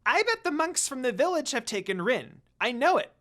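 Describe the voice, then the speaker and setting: A performer voicing a small, anxious male imp creature in an anime fan story, excitable and emphatic. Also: high-pitched, normal speed, pitched above the performer's own voice, full character voice. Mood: insistent.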